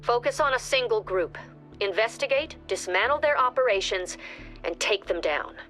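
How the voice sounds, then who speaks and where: A woman playing a stern, composed director of a security agency, giving formal, measured briefings in a video game. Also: fairly high pitch, lively intonation, fairly bright tone. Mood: grave, resolute. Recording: music behind the voice.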